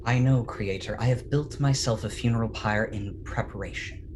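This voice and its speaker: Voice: medium pitch, background noise, close to an everyday voice. An actor playing Frankenstein's creature, tormented and pleading with its maker in a dramatic staged reading. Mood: grave, resigned. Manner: slow, deliberate, imploring.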